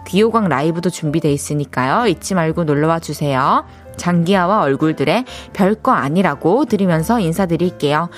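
A woman's voice: deep voice, lively intonation, moderate pace, faint music behind. A woman hosting a radio show, chatting casually and playfully and laughing easily with a guest.

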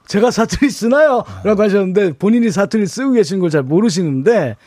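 A man co-hosting a radio show, coaching a caller on pitch and diction with emphatic demonstrations and frequent laughs. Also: animated, moderate pace, warm tone, dry recording, clean studio sound. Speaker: radio co-host and vocal coach.

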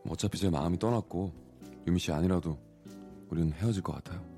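A man's voice: sits low, fast talker, warm tone, faint music behind, pitched below the performer's own voice. A man playing an earnest, forward suitor of thirty-one in a radio story reenactment. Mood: earnest confession. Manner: casual, pushy, earnest. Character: forward, earnest 31-year-old man courting a woman.

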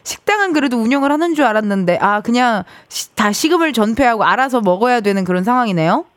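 A woman in her thirties hosting a casual radio show in a studio, chatting with listeners in a playful, teasing, animated manner. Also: a little high, fast talker, no room sound. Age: 30s. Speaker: radio host. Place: broadcast studio.

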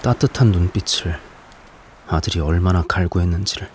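A man's voice: low voice, normal speed, background noise, lightly acted. An actor playing a brooding nineteen-year-old man who is losing his sight and resents his father. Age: about 19, turning 20. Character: young man going blind, bitter toward his father. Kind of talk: introspective drama narration and dialogue.

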